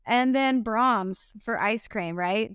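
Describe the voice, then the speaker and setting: A woman in her thirties from Oklahoma, a pro disc golfer, answering interview questions in an upbeat, chatty way with frequent ums and quick laughs. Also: a little high, a bit bright, clear, resonant tone, dry recording, studio quality.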